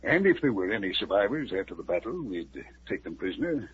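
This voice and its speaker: An actor playing a cautious army colonel, formal and measured and sometimes hesitant, in a 1950s radio drama. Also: male voice, fairly low pitch, sing-song, slightly rough, exaggerated voice. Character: cautious middle-aged male army colonel. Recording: muffled old radio recording with faint hiss.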